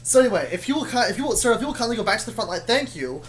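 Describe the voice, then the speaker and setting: A woman playing a stubborn, matter-of-fact soldier in improvised comedy, arguing in a rambling, deadpan way. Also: fairly high pitch, very fast. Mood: brisk, unbothered.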